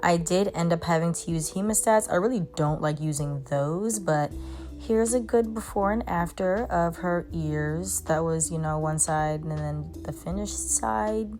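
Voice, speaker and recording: Chatty young woman, lowish voice, casual groomer vlog from a van with faint music behind. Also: unhurried, a little mellow. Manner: chatty, talks to dogs in baby talk. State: congested. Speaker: mobile pet groomer.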